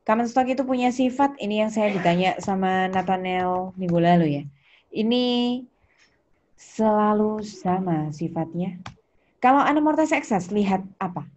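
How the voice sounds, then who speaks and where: A woman's voice: medium pitch, normal speed, a little mellow. An Indonesian woman teaching an accounting lesson, questioning students, repeating key points and prompting them. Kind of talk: interactive online lesson, questioning students by name. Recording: muffled lo-fi audio with background noise.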